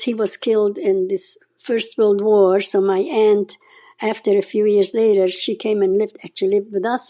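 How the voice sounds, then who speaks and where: A woman's voice: mid-range voice, dark tone. A woman in her nineties from Czechoslovakia with a Hungarian-Czech accent, telling her memories in an oral history interview and often adding "okay?". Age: 90s. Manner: storytelling, often adds a questioning "okay?". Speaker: interview guest.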